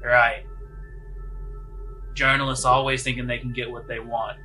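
Sci-fi tabletop guard in charge, voiced by a man: condescending, tired of outsiders, mid-pitched, unhurried, music under it. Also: level tone, close to an everyday voice. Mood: dismissive. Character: dismissive, jaded male prison guard officer, condescending.

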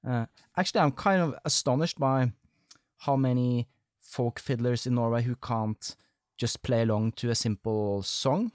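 Halting male host, dry sound. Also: mid-range voice, a little mellow, dry recording. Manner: halting, with many fillers. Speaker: podcast host. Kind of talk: interview conversation.

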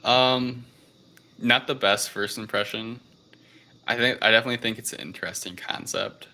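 A man co-hosting a podcast, discussing a film casually in a measured, hesitant way. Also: mid-range voice, pushed and bright. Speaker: podcast co-host.

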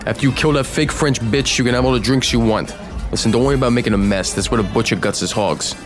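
An actor playing a brash, sneering 1930s mob boss in a radio drama, with a slangy, taunting New delivery. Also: male voice, medium pitch, fast, over music, natural voice. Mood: callous. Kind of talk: period radio drama acting.

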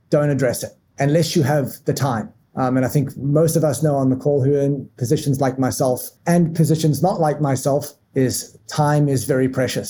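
Man, very dark mellow tone, measured, lists points step by step. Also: medium pitch, slight background noise. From South Africa. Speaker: marketing leader, podcast guest. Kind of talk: structured business talk.

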